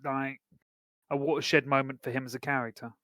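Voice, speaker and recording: A man's voice: medium pitch, flat delivery, a little fast, bright, forceful tone, dry recording, clean studio sound. A man co-hosting a podcast, discussing films informally and thinking aloud as he goes. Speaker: podcast co-host. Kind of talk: casual film discussion.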